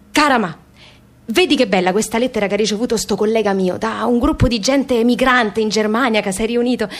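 Young working-class daughter, high-pitched, southern Italian accent, colloquial, halting, candid, radio theatre monologue. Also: female voice. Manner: colloquial, halting, repeating phrases.

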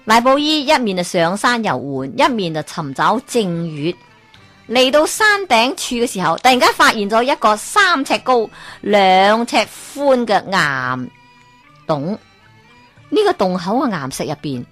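Lively Hong Kong woman telling folk tales. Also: mid-range voice. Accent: Hong Kong Cantonese. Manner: lively, asks listeners rhetorical questions. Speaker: storyteller. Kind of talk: Cantonese folk-tale storytelling.

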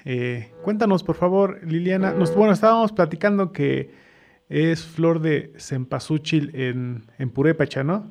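A man's voice: fairly high pitch, unhurried, darkish tone. A man hosting a casual radio interview in a polite, somewhat hesitant way. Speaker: radio host.

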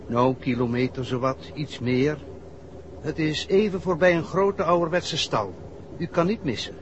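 Actor as courteous, high-pitched country clergyman, old radio. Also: close to an everyday voice. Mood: helpful. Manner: courteous, unhurried, explanatory. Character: helpful, chatty country clergyman giving directions. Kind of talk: 1960s Dutch radio drama acting. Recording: dull 1960s radio recording, faint noise.

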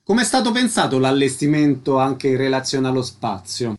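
A man's voice: medium pitch, big pitch swings, moderate pace, darkish tone. A man working as a radio interviewer, asking concise questions and making formal announcements.

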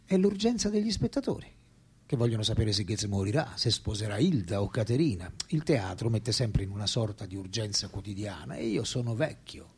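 An actor playing an ageing, self-absorbed philosopher in a radio drama, speaking lines in a theatrical, deliberate, emphatic way. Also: male voice, fairly low pitch, expressive, darkish tone, breathy edge, exaggerated voice. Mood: weary, reflective. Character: famous philosopher as old man, wry, intense, self-absorbed.

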